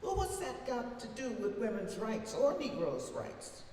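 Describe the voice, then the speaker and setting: A woman giving a dramatic, emphatic recitation of an old speech as a defiant, plain-spoken older orator, with long deliberate pauses, recorded from far off in a reverberant hall. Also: a little high, huge pitch swings, warm tone. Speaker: reader performing a historical speech. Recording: very echoey hall from far off, faint noise.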